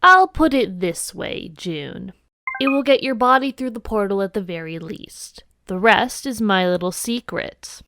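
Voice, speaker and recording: A woman's voice: medium pitch, expressive, unhurried, fairly bright tone. A woman acts out a smug female alien troll from an audio drama, a prankster who talks down to everyone with mocking, teasing relish. Faint noise and clicks sit behind the voice. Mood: sly, teasing. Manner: mocking, condescending.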